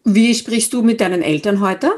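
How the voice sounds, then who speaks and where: Woman interviewer, medium-pitched voice; keeps the chat going with quick, encouraging prompts. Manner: short encouraging reactions and questions. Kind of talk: casual conversational interview.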